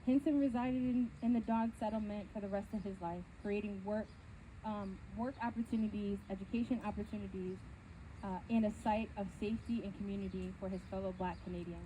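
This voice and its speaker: Casting: female presenter, mid-pitched and very soft, dark tone, reading formal prepared remarks with occasional stumbles. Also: steady tone, brisk, a little hiss or hum, poor audio. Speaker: presenter introducing a performer. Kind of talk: read-aloud biographical introduction.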